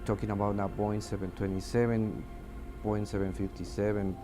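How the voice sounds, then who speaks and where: Halting, low-voiced Mexican man over music. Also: flat delivery. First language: Spanish. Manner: hesitant, searching for words, broken grammar. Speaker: air traffic controller giving testimony. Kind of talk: interview testimony in halting English. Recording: music under the voice.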